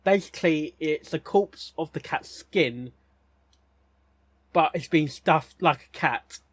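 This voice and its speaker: A man giving casual, rambling reaction commentary as a host, haltingly, often repeating words and phrases. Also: a little high, breathy edge, dry recording.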